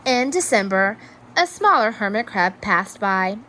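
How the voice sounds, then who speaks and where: A woman reading a children's story aloud in an expressive, measured storytelling manner. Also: medium pitch, a little hiss or hum. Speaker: storybook reader. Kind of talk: children's read-aloud narration.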